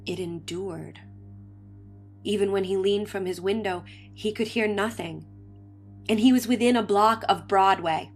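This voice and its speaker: A woman's voice: medium pitch, unhurried, darkish tone. A woman giving a dramatic, scripted reading of an old science fiction story as narrator. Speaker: story reader. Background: faint music.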